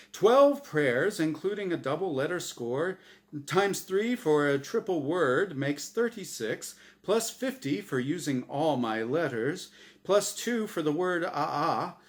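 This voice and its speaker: A man voicing a gleeful, smugly cheerful angel game-show host with a big announcer delivery, in a story reading. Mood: smug, teasing. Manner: booming, exaggerated announcer delivery.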